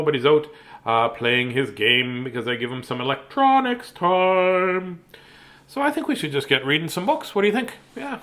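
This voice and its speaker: A man's voice: on the high side, fairly bright tone. A man chatting casually as host of a bedtime story reading, playful and self-deprecating, with joking asides.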